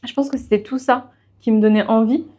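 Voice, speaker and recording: A woman's voice: fairly high pitch, steady tone, fairly quick, warm tone. A young woman podcast guest, telling her own story in a casual, conversational way. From of Portuguese family background. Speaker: podcast guest, freelance web writer.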